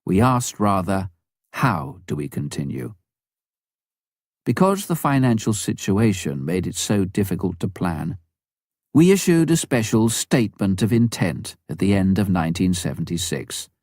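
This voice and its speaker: A man reading a memoir aloud as scripted narration, with measured, deliberate phrasing. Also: low-pitched, big pitch swings, a little mellow, dry recording, clean studio sound. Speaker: audiobook reader.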